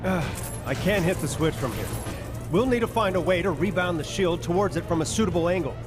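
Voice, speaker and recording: An actor playing an earnest, courteous superhero team leader in a video game, with a commanding manner. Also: male voice, high-pitched, expressive, fairly quick, over loud music. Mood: decisive.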